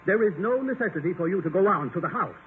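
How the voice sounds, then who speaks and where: On a tinny old radio recording with faint noise, a pompous male chairman of the board. His high voice hurries along, stiff, scolding and indignant, in 1950s radio comedy-drama style. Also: expressive, fast.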